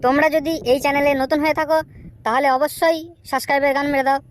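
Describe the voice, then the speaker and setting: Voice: flat delivery, a little hiss or hum, close to an everyday voice. A performer voicing a cheeky, slangy young prankster boy in a comedy cartoon. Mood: chirpy. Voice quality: high-pitched cartoon voice. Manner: slangy, brash, fast-talking. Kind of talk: Bengali comedy cartoon dialogue.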